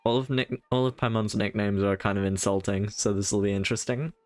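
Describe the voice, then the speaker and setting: A man giving casual live commentary as a game streamer, musing aloud in a halting way. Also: lowish voice, steady tone, no room sound.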